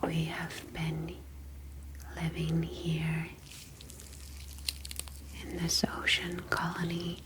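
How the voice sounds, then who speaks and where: A woman playing a calm, formal humanoid alien scientist in an ASMR roleplay, speaking in soft, breathy whispers with measured, deliberate phrasing. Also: deep voice, noisy background.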